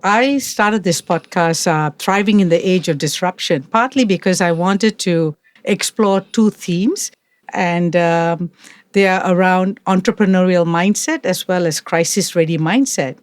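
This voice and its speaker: A man hosting a podcast interview, asking questions in a measured, deliberate way. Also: sits high, clean tone, dry recording. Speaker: podcast host.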